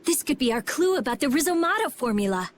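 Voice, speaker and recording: A woman playing a sharp, insistent, inquisitive teenage girl in a game. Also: sits high, big pitch swings, fast talker, slight background noise. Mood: urgent. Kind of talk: English game dub acting.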